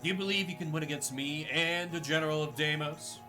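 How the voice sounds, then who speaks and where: A man's voice: on the high side, fairly quick, bright, forceful tone. A man voicing a gloating, menacing male traitor lord in a fantasy game. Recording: game music behind the voice.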